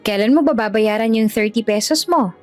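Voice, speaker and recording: A woman's voice: on the high side, fairly quick, darkish tone, faint music behind, natural voice. A woman voicing a playful, teasing young woman who serves at a porridge stall in an audio drama.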